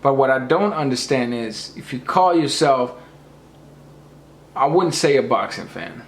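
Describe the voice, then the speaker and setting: A man's voice: medium pitch, lively intonation, a little slow, reverberant. A man losing his voice, ranting emphatically about boxing and lecturing his viewers. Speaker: boxing commentator. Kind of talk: opinionated rant to camera.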